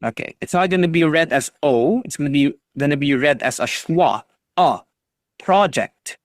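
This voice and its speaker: A man's voice: on the high side, expressive, moderate pace, dry recording, studio quality. A man teaching an online English class over a video call, patiently drilling students on pronunciation and encouraging them.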